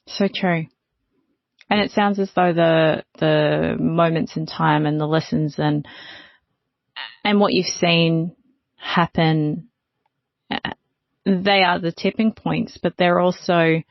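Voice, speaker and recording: A woman facilitating a discussion, thoughtfully summing up what others have shared with pauses and unscripted phrasing. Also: on the low side, steady tone, vocal fry. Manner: thoughtful, pausing, paraphrases the speakers. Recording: dull, slightly roomy audio.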